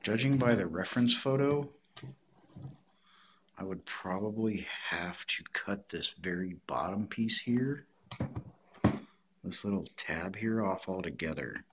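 A man's voice: low-pitched, expressive, measured pace, long pauses, a bit bright, slight background noise. A man giving a casual hands-on craft tutorial, explaining step by step in plain words.

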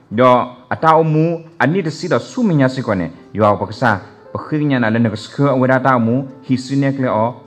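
A middle-aged man with a Karen accent, preaching a short, repetitive children's sermon into a stand mic in a large church hall. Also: mid-range voice. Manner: repetitive, call-and-response, simple phrasing. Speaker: pastor. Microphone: stand mic at a lectern.

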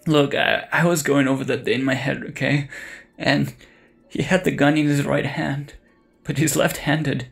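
A man's voice: fairly high pitch, steady tone, normal speed, vocal fry, faint music behind. A young man playing an earnest, hesitant, grieving college student in an audio drama. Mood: troubled. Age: young adult.

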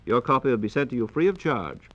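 A man's voice: mid-range voice, big pitch swings, quick pace, no echo. An American man hosting a 1940s radio program with polite, formal, scripted announcing. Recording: dull, band-limited 1940s radio transcription disc.

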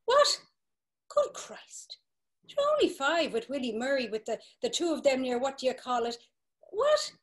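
Actress for a high, excitable Irish pub drinker. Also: female voice, moderate pace, exaggerated voice. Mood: astonished. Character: startled Dublin drinker Alf, excitable. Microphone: video call webcam.

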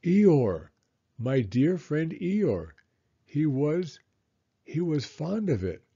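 Man voicing a humble, slow, husky teddy bear. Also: on the high side, sing-song, warm tone, highs cut off, pitched above the performer's own voice, full character voice. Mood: earnest. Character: humble, dim, dreamy, good-hearted teddy bear. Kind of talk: children's storybook character reading.

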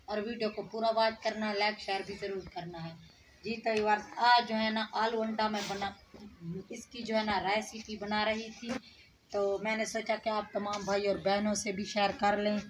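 Brief: middle-aged woman vlog host from Pakistan, chatty and homely, mid pitch, outdoor courtyard, camera a few meters off, noisy audio. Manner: chatty, repeats filler phrases, addresses viewers warmly. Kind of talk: casual cooking vlog talk. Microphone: camera on tripod at a distance.

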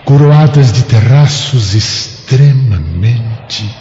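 An actor playing a wistful, worldly wandering ghost in a radio drama, grandiose and sighing, with a gravelly voice. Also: male voice, medium pitch, lively intonation, slow, mellow. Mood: wistful awe. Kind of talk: radio drama acting, soliloquy. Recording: dull audio with some noise.

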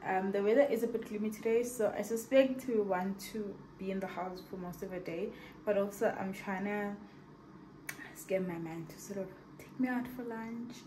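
A woman's voice: mid-range voice, normal speed. A young woman chatting casually to her viewers in a rambling, thinking-aloud way while vlogging at home. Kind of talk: casual vlog chat to camera. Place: at home, partly in a kitchen. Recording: home video with steady background noise.

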